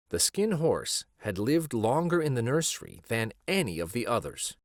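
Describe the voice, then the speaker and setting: A man narrating a children's storybook aloud in a deliberate, expressive way. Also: mid-range voice, no room sound, clean studio sound.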